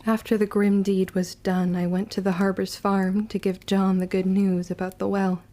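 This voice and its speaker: A woman acting a wounded, vengeful young witch who narrates her own story in an audio drama, with deliberate, emotive delivery. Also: medium pitch, little pitch movement, warm tone, natural voice. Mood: sorrowful, guilty.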